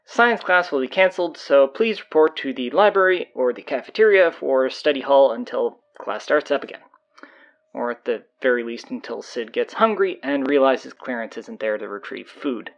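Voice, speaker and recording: A man playing a flustered, sardonic stand-in high school announcer, rambling hesitantly and casually through audio drama announcements. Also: expressive, moderate pace, muffled recording, close to an everyday voice. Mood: awkward, deadpan.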